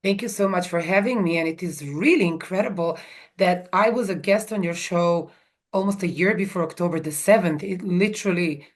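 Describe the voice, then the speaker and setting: A woman's voice: lowish voice, a little fast. A woman in her forties, originally from Serbia, answering interview questions over a video call from home, earnest and full of ums and restarts. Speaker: guest expert.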